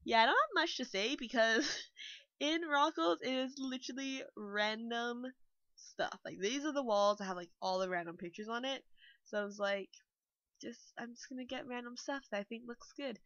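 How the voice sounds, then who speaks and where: A woman's voice: fairly high pitch, moderate pace, fairly bright tone, dry recording. A young woman, a bit nasal and still a little sick, rambling casually through a voice-over walkthrough with lots of fillers. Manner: rambling, chatty, full of fillers. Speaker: game builder vlogger. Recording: dull dry lo-fi mic.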